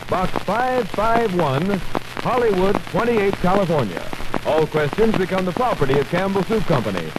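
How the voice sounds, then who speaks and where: High-voiced American radio announcer, heavy crackle. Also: male voice. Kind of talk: scripted 1940s radio announcement. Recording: badly worn, very noisy 1949 radio disc.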